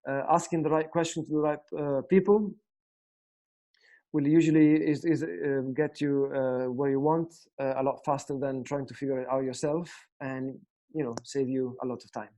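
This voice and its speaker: Italian-accented man with a mellow voice. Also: medium pitch, steady tone, moderate pace. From Italy. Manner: hesitant, many fillers and restarts. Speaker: guitar teacher. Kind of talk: casual spoken lesson.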